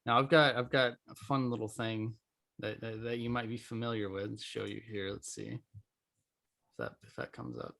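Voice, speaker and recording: Podcast host, male, lowish voice, casual and informal, loosely phrased conversational delivery. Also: moderate pace, gravelly, pushed and bright.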